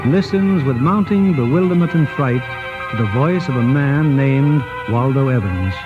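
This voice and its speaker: A man's voice: mid-range voice, lively intonation, normal speed, lightly acted. Guy as suspense narrator, old radio, dull muffled sound, music behind, dramatic. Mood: ominous.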